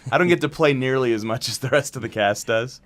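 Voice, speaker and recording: A man's voice: mid-range voice, fast talker. A man chatting casually and animatedly as a guest in an interview. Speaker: show creator, director and actor, interview guest.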